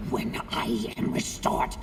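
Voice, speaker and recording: A man voicing a small, cursed, proud creature bent on vengeance in a fantasy video game, with a harsh squeaky voice and imperious delivery. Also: takes it slowly, far from the mic, a little hiss or hum, lightly acted. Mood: furious, vengeful. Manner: theatrical, imperious exclamations.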